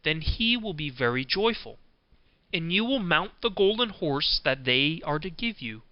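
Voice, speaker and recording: A man voicing a wise, helpful storybook fox who gives measured, instructive counsel. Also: a little high, sing-song, no echo, highs cut off. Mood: calmly instructing.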